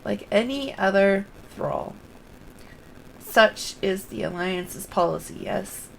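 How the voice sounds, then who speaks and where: A blunt, skeptical young woman from a fantasy game speaks her mind, read aloud by a woman in her everyday voice. The reading stays plain and unacted. Some gravelly fry colors the lower notes. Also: takes it slowly, a little hiss or hum, low-quality recording. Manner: reads dialogue aloud in a plain voice.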